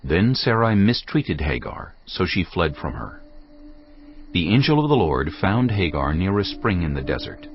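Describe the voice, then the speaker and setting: A man narrating scripture from a script in a measured, dramatic way. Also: low-pitched, sing-song, slight background noise. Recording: slightly dull audio, music behind. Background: background music.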